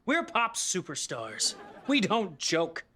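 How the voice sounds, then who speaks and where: A voice actor playing the bossy leader of a council of pop stars, with a brisk, deadpan delivery. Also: mid-range voice, background noise. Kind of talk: cartoon voice acting.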